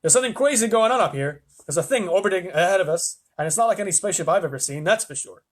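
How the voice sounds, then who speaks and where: A man voicing a flustered, excitable storybook astronaut with an urgent, insistent manner. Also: a little high, normal speed, breathy edge, pitched above the performer's own voice. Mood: alarmed. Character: flustered, excitable male astronaut Shuckworth alerting mission control.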